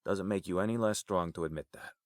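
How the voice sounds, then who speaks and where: An actor playing an easygoing, streetwise, affectionate uncle in an audio drama, talking in a familiar, colloquial way. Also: male voice, deep voice, fast, slight background noise, close to an everyday voice. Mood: frank, encouraging.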